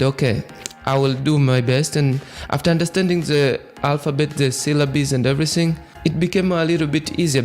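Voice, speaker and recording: A man's voice: mid-range voice, darkish tone. A young man from Tanzania, a Swahili speaker hosting a podcast and earnestly reflecting on his own experience. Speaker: podcast host. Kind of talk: personal monologue.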